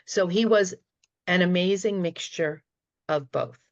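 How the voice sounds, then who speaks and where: Boston lady, low gravelly voice, fond earnest remarks, muffled dry call audio. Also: measured pace, no echo. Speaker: guild director. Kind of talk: prepared remarks on a video call. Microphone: video call.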